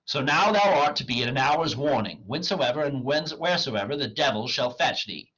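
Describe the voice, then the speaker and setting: A man's voice: mid-range voice, lively intonation, normal speed, sharp tone, husky, highs cut off, pitched above the performer's own voice. A man voicing a haughty, threatening master conjurer in an Elizabethan comedy, commanding and declamatory. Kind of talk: Elizabethan stage comedy reading.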